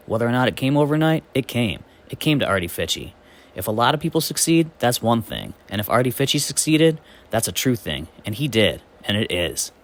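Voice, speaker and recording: A man reading absurd comic literary snippets aloud in a deadpan storytelling manner. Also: lowish voice. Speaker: amateur reader.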